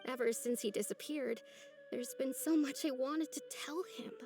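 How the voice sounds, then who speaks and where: A woman playing a gentle, lonely young village woman in a video game, speaking in an earnest, soft, hesitant way. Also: high voice, moderate pace, music in the background. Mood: fond, wistful.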